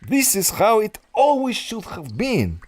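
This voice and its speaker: A man's voice: sits high, wildly swooping pitch, a little slow, exaggerated voice. An actor playing a suave, scheming male villain who speaks in a condescending, theatrical way. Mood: smug.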